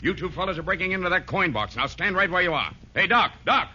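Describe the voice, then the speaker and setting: Sharp, forceful radio policeman. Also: high-pitched, expressive, fast talker, heavily acted. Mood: alarmed, commanding. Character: brisk, no-nonsense off-duty policeman. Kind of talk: old-time radio sitcom acting. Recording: dull, noisy old radio recording.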